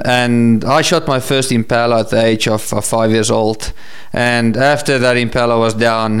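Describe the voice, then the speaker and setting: A man's voice: medium pitch, smooth. A man with a South African accent answering interview questions conversationally as a guest. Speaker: professional hunter and safari business owner, interview guest.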